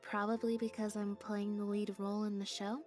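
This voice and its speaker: An actress voicing a deadpan, understated young female magician's assistant with robot-like habits in a video game. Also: mid-range voice, normal speed, close to an everyday voice. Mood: deadpan, explaining. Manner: deadpan, understated, matter-of-fact. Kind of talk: video game character dialogue. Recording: game audio with music behind. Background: background music.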